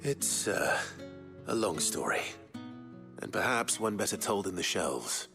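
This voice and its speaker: An actor playing a grim, weary, brooding leader in a dramatic video game, speaking in a dry, measured way. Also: male voice, low-pitched, expressive, music in the background. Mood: dry, resigned. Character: grim, weary male leader haunted by power.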